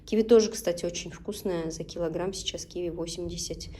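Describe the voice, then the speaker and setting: Thirties woman from Tatarstan, Tatar native speaker, lowish voice, chatty homely vlogger-mom tone. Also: steady tone, fairly quick, warm tone. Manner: chatty and homely, often breaks off to instruct her children. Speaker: vlogger and mother. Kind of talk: casual home vlog chat while cooking with kids. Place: home kitchen.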